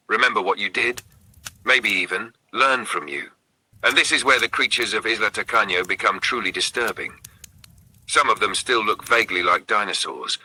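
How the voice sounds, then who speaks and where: Male horror narrator: raspy, very forceful tone, suspenseful delivery in short, dramatic phrases. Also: fairly low pitch, low-quality recording. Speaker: narrator of a horror storytelling channel. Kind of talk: scripted narration, spooky campfire storytelling.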